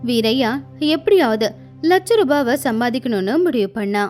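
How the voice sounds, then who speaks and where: A woman's voice: sits high, darkish tone. A woman narrating a scripted moral tale as a storyteller.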